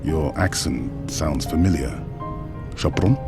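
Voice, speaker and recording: Deep, slow-spoken big soldier. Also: male voice, loud music behind the voice. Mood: soft, solemn. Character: big gentle armored soldier, male, protective, solemn. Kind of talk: video game cinematic acting.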